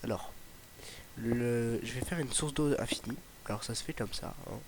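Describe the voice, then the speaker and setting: A man's voice: medium pitch, normal speed. Rambling young man commenting on gameplay. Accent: slight Ardèche accent. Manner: rambling, hesitant, lots of fillers and restarts. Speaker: gaming YouTuber. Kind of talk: casual Let's Play commentary. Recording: noisy home mic recording.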